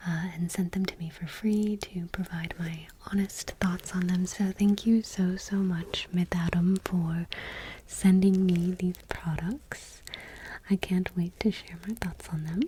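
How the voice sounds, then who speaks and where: Slow, whispering woman doing soft ASMR. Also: lowish voice, a little hiss or hum, low-quality recording. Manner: gentle, unhurried whispering. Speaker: ASMR creator and host. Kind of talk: soft-spoken ASMR, whispered product showcase.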